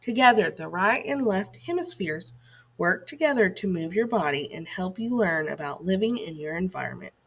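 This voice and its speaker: A woman's voice: lowish voice, expressive, normal speed, creaky, slightly rough. A woman reading a scripted educational lesson aloud to children as a teacher, putting questions and directions to young listeners.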